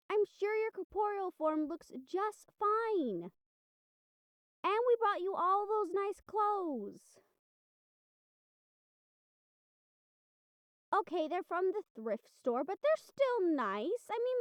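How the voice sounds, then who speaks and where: A young woman playing a bubbly, encouraging college student in a casual, chatty, expressive roleplay audio drama. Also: extremely high pitch, no room sound, exaggerated voice. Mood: reassuring, mildly exasperated. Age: young adult. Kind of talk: roleplay audio drama acting, speaking to listener.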